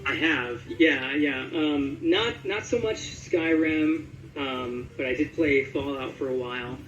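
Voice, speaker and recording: A man with a fairly high voice talks in a casual interview as a voice actor. He thinks aloud in a conversational, hesitant way. The room sounds echoey, and music plays faintly behind him. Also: slow pace, fairly bright tone, lo-fi sound. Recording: roomy echo with music underneath.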